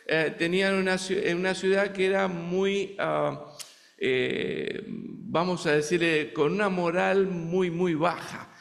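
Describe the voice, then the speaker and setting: A man's voice: high-pitched, in a large hall. A man with an Argentine accent, preaching a sermon as a guest preacher in a conversational way, often turning to the congregation with rhetorical questions. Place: church sanctuary in the United States.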